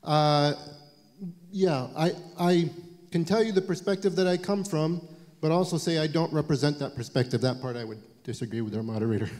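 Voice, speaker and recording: A man in his forties, speaking on a panel in a halting, thoughtful way with frequent restarts, in an event hall. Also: fairly high pitch, a little mellow. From United States. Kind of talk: panel talk. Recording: long reverb of a lecture hall.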